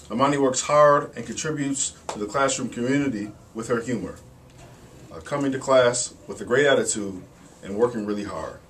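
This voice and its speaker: A man's voice: mid-range voice, a bit bright. An American man in his thirties presenting school awards and reading citations aloud. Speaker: school staff member presenting student awards. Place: wood-panelled office, indoors. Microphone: camera at a distance. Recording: slightly noisy room, distant mic.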